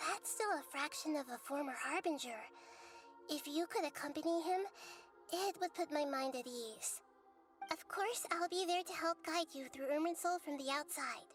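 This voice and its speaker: A high, youthful voice over soft music, a wise child goddess from a fantasy game. She is gentle and earnest, choosing her words in a measured, articulate way. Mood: calm, friendly. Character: gentle, wise young girl deity of a nation. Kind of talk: scripted video game voice acting.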